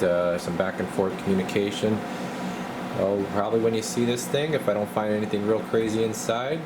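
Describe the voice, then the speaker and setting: A man giving a casual, folksy, slangy repair walkthrough as a radio technician. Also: on the low side. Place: indoor radio repair workshop. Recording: steady clear background noise.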